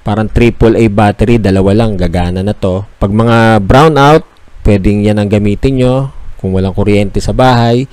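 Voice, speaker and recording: A Filipino man narrating a casual shop tour as a vlogger, talking conversationally and pitching items like a salesman. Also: moderate pace, a little mellow, clean tone, dry recording.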